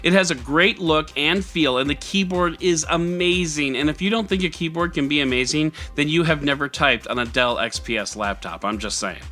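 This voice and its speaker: A man's voice: a little high, normal speed, few pauses, sharp tone, soft background music. A man hosting a tech news podcast, reading scripted items with jokey, mock-dramatic and sarcastic asides. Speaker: podcast host.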